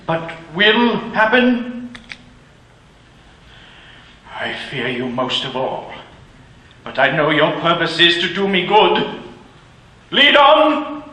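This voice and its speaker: Old Scrooge, pleading. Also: male voice, a little high, pushed and bright, full character voice. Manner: pleading, fearful. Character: miserly old man Scrooge, anxious and repentant. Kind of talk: 1940s radio acting. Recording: echoey old radio broadcast.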